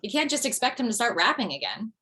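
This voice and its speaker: A woman's voice: fairly high pitch, big pitch swings, quick pace, sharp tone, breathy edge, full character voice. A woman playing a dry, hesitant middle-aged widowed mother who used to do standup, in a comedy table read. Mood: exasperated. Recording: video call.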